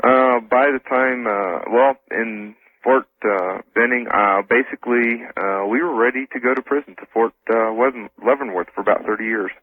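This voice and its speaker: A man's voice: medium pitch, normal speed, few pauses, no echo. A man answering casually as a guest in a radio interview. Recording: tinny narrow phone line.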